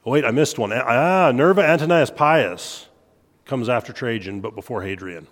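A man with a head cold giving an informal lecture at a midweek church meeting, relaxed and given to self-deprecating jokes. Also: mid-range voice, moderate pace. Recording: long hall reverb.

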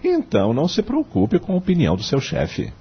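An actor playing a wise, kindly male law professor in a radio drama, with a Brazilian accent and a husky voice, measured and moralizing. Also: a little high, wildly swooping pitch, highs cut off, exaggerated voice. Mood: kindly, reassuring. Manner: didactic, measured, moralizing, rhetorical questions.

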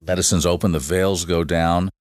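A man's voice: low voice, little pitch movement, darkish tone, no room sound, clean studio sound. A man speaking as a podcast guest, explaining at length with frequent restarts and fillers. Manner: halting, many restarts and fillers. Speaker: guest, shamanic facilitator. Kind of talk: casual podcast interview.

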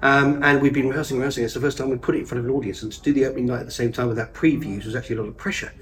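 A man, a stage actor, talking eagerly and quickly about his show in an interview. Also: mid-range voice, fairly even intonation. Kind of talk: interview chat. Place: theatre above a pub. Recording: distant, off-mic.

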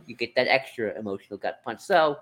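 A podcast co-host in casual discussion, speaking in a hesitant, halting way as if thinking aloud. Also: mid-range voice, lively intonation, a crowd in the background. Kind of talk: casual discussion of franchise books and comics.

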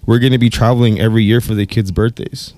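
A man's voice: lowish voice, fairly even intonation, quick pace, dark tone, no room sound. A man in his thirties co-hosting a casual podcast, telling rambling stories about his kids. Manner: laid-back, rambling storyteller. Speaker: podcast co-host. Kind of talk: casual chat.

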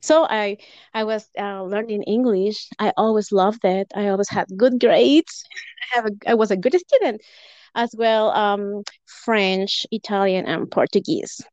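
A woman's voice: mid-range voice, a little slow, darkish tone, no echo, highs cut off. A Peruvian woman with a Spanish accent, a podcast guest who talks effusively and laughs often between phrases. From Peru. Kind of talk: casual podcast conversation.

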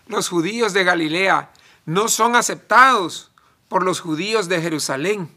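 A man from El Salvador, preaching a sermon in deliberate phrases with emphatic pauses. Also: high-pitched, sharp tone.

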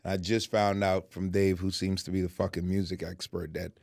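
A man's voice: sits low, level tone, a little fast, darkish tone, no echo, clean studio sound. A man co-hosting a podcast, talking bluntly and casually about business, swearing freely. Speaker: podcast co-host.

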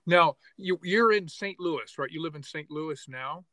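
A man hosting a podcast, enthusiastic and halting as he welcomes and questions his guest. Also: on the high side, no echo. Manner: warmly enthusiastic, halting with restarts. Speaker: podcast host. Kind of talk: conversational interview.

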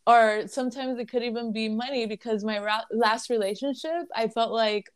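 A woman's voice: on the high side, fairly even intonation, normal speed, smooth. Casual woman in her twenties, lebanese accent, easygoing interview chat with little laughs. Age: 20s. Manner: chatty, laughs between phrases. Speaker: guest, content creator.

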